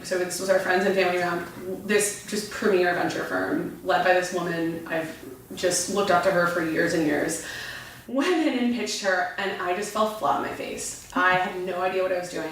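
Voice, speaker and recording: Woman entrepreneur guest, 30s, lively storyteller who restarts often; echoey, distant mic. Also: lowish voice, a little hiss or hum. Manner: animated storyteller, frequent restarts. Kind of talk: casual interview chat.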